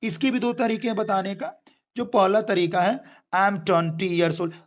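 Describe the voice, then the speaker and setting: Young indian guy teaching basic english, high voice, keeps saying okay guys, drags out the example lines. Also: steady tone. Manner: explains slowly, repeats "okay guys", drawls example sentences. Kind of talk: spoken English lesson.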